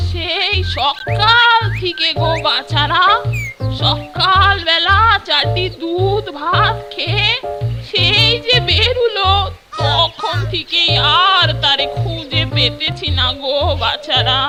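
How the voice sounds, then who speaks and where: A woman voicing an anxious old village grandmother in a cartoon, pleading in a slow, rustic way. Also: very high-pitched, over music. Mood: worried. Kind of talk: cartoon dub acting.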